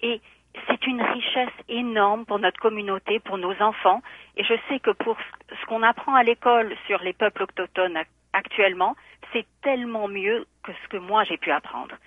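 A woman's voice: medium pitch, normal speed, no room sound. A woman speaking by phone in a radio interview as a school board candidate, earnest and thoughtful with many hesitant fillers. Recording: tinny phone line.

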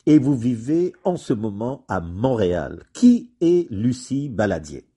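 Male radio host, animated delivery, mellow husky tone, chatty interviewer. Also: medium pitch, measured pace.

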